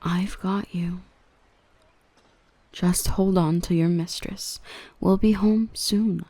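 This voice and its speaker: A woman playing a dominant yet protective dark elf mistress in an ASMR roleplay, by turns cutting and tender. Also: lowish voice, fairly even intonation, slow, no echo. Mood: tender, concerned. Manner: stern and cutting to traders, tender and coaxing to the human. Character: dominant female dark elf, protective, gentle with her rescued human.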